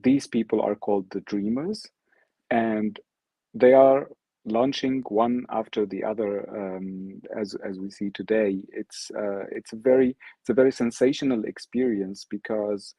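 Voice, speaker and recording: A man whose first language is Arabic gives an online presentation as guest speaker, halting and often restarting his sentences. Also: on the low side, steady tone, dark tone, breathy edge, no room sound. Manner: halting, searching for words, frequent restarts.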